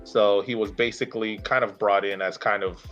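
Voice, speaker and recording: Male podcast host, thirties, lowish voice, halting casual sports recap, muffled audio with faint music behind. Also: fairly even intonation, a bit bright. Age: 30s. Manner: halting, searching for words. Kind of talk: casual sports talk, recounting a player's career.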